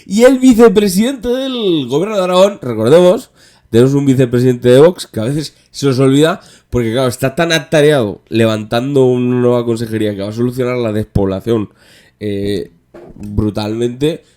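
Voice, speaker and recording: A man's voice: mid-range voice, moderate pace, mellow. A male podcast host with a Spanish accent from Spain talks politics casually. His delivery is wry and joking, and he often stops and restarts as he works toward a sarcastic point.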